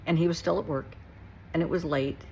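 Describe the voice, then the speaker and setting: Middle aged woman talking reflectively, gravelly lowish voice, really earnest and emphatic, bit of room noise behind her. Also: fairly even intonation, a little fast, darkish tone, low-quality recording. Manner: earnest, emphatic, repeats short phrases for weight. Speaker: therapist and nurse. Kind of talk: reflective personal talk to listeners.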